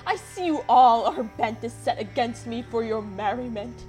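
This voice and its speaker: A woman playing a wounded, indignant young gentlewoman in a Shakespearean radio play, reproachful and theatrically emphatic. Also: on the high side, animated, moderate pace, soft background music, natural voice, low-quality recording. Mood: indignant, exasperated.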